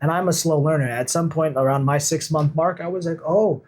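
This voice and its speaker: A man's voice: a little high, fairly even intonation, a little fast. A man talking casually as an interview guest, explaining his points informally and emphatically like a coach. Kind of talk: casual conversational interview talk.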